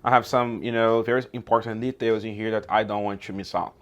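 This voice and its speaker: A man's voice: on the low side, fairly even intonation, fairly quick, dull audio. A man from Brazil whose first language is Portuguese, hosting a YouTube channel and talking informally outdoors, often checking in with "okay?" and "right?". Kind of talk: casual outdoor vlog talk.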